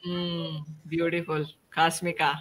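A woman's voice: low-pitched, little pitch movement, slow, a bit bright, slight background noise. A middle-aged woman hosts an online panel in an informal style. She takes her time as she puts questions to the group and prompts people to answer. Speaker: host of a panel discussion. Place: indoors at home, on a video call.

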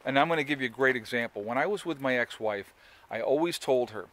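A man's voice: mid-range voice, quick pace, a bit bright, rough recording. Man, 40s, homestead vlogger, selfie-style outdoors, loose rambling delivery. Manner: rambling, many fillers and restarts. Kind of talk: casual talk to camera. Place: outdoors in woods on a sunny day. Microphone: phone or camera held at arm's length.

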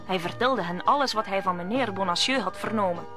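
A woman's voice: medium pitch, a little fast, over music. A woman narrating a radio play as a scripted story.

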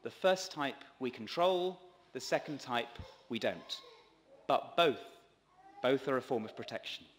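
A male priest gives a prepared sermon at a lectern microphone. His voice sits at a medium pitch, with careful, measured phrasing. Long reverb from a large stone church follows his words. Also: expressive. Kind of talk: sermon read from a script. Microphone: gooseneck lectern microphone.

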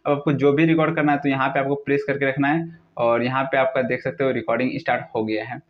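A man's voice: mid-range voice, little pitch movement, quick pace. A young man narrates a casual how-to tutorial, mixing English words into step-by-step instructions. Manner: repetitive, instructional phrasing with English words mixed in. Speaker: tutorial video host. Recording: dull lo-fi recording.

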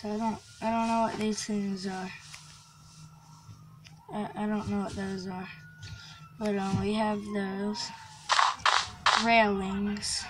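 A woman's voice: medium pitch, background noise. A young girl touring a game map, talking casually with lots of likes and ums. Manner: hesitant, full of likes and ums. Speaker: Minecraft player touring a map. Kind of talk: casual gameplay commentary. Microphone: phone screen recording.

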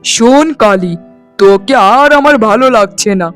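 A man's voice: high-pitched, lively intonation, normal speed, fairly bright tone, clear, resonant tone, faint music behind. A man playing an angry, devoted young husband and son in a melodramatic, emphatic cartoon style. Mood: angry, scornful. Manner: emphatic, scolding, dramatic.

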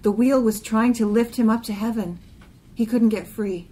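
A woman's voice: on the high side, moderate pace, warm tone, breathy edge, low-quality recording. A woman reading a literary poem aloud as part of a group reading. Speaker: poetry reader.

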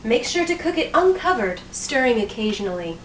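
A woman's voice: a little high, very animated, a little fast. A young woman giving a jokey, chatty cooking demonstration in a small home kitchen, recorded on a handheld camera. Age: young adult. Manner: chatty, jokey, self-mocking, moving around while cooking. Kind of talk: playful how-to narration. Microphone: handheld camera mic, moving. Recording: noisy home camera audio.

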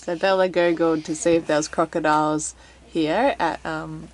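A woman's voice: sits low, moderate pace. A woman, chatting casually as she films herself at arm's length on a sailboat. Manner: chatty, relaxed, laughs often. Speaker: vlogger, sailing family mum. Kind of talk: casual travel vlog narration. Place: outdoors on a sailboat deck at sea. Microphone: handheld camera at arm's length.

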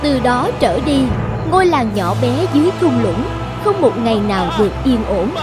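Folktale narrator, woman, voice on the high side, scripted storytelling with music clearly underneath.

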